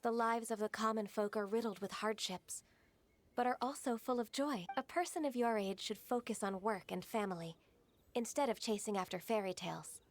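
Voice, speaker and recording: A woman voicing a gentle, earnest half-immortal maiden in an anime video game, speaking in a soft, polite, formal and hesitant way. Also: fairly high pitch, normal speed, natural voice. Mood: gently admonishing.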